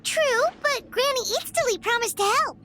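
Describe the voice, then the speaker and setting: A woman's voice: very high-pitched, normal speed, sharp tone. A woman voicing a small, perky, childlike fairy companion in a video game, with a chirpy, eager manner. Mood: perky, excited. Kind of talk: scripted game dialogue.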